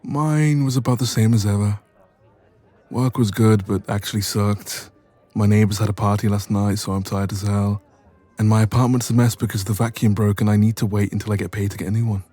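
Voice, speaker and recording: A man's voice: on the low side, brisk, close to an everyday voice. A man playing a playful, teasing young best friend in a romantic roleplay audio, chatting casually and intimately. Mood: warm, chatty. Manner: playful, teasing, expressive, soft laughs.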